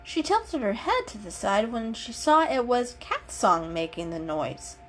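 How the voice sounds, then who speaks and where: A woman storyteller giving an expressive, unhurried dramatic reading of an animal story. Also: fairly high pitch, faint music behind.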